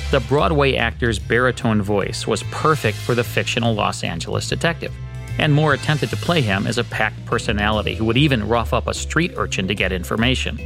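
A man's voice: medium pitch, vocal fry, a bit bright. A man hosting a program with scripted radio history narration. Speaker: program host. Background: faint music.

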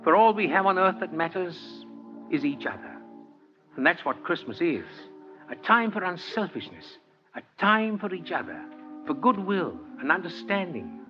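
An earnest old Santa Claus with a genteel, theatrical delivery. His voice sits a little high and moves in wide, lively sweeps. It is an old radio drama recording, muffled, with some music behind. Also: moderate pace. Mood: earnest, moved. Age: older. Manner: genteel, heartfelt, theatrical oratory. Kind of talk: 1940s radio drama acting.

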